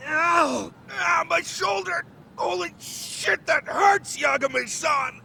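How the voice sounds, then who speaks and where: A man's voice: very high voice, slow, vocal fry, bright, forceful tone, shouting, slight background noise, full character voice. An actor playing an easily cowed young male student delinquent in a video game, talking in casual slang. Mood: pained. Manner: casual slangy youthful talk.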